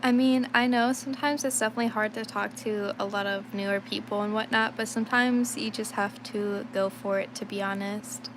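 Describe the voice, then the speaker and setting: Young woman, sick, a little raspy, rambling casual streamer chat, faint noise behind. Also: medium pitch, steady tone, fairly quick, few pauses. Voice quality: slight rasp. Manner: rambling, thinks aloud, many fillers. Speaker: livestreaming digital artist.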